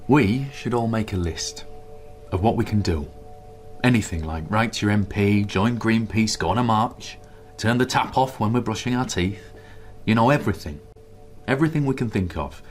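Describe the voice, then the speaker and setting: A man's voice: lowish voice, animated, faint music behind, close to an everyday voice. An actor playing a middle-aged husband and father in a radio drama, dry and wry, later rallying his family with a plan. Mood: enthused. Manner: dry, wry, grumbly at first, then brisk and rallying. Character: greying husband and father, wry, then eagerly inspired.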